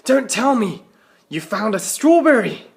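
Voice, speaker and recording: A man's voice: high-pitched, sing-song, unhurried, creaky, pitched above the performer's own voice, exaggerated voice. A man voicing a sardonic, exasperated boy, the younger brother in a storybook, with dry, teasing emphasis.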